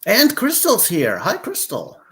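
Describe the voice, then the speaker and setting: A man's voice: sits high, wildly swooping pitch, measured pace, a bit bright. A man hosting a casual writing stream, talking hesitantly with many fillers and a playful tone. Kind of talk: casual chat.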